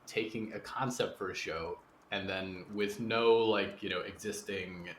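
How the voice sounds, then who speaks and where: A man's voice: on the low side, fairly even intonation, unhurried. A man hosting a podcast interview, putting thoughtful questions to a guest in a conversational way. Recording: roomy echo, faint background noise.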